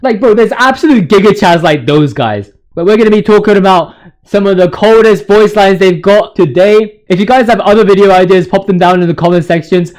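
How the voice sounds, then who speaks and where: A man's voice: high voice, fairly quick, darkish tone. A young man giving casual video game commentary in a hyped, slangy, rambling manner. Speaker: video host.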